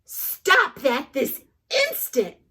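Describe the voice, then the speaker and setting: A stern mother scolding her small son, as a woman puts her on while reading a picture book. She barks short, firm commands in a high voice that leaps up and down. She speaks slowly and deliberately. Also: wildly swooping pitch, bright, forceful tone, exaggerated voice.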